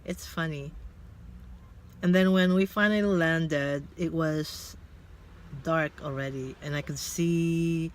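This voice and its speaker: A woman in her fifties, a Tagalog speaker, telling a personal story in a casual vlog. She talks in a hesitant, reflective way and pauses often as she thinks aloud. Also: sits low, steady tone. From Philippines. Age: 50s. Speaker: vlogger. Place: inside a car.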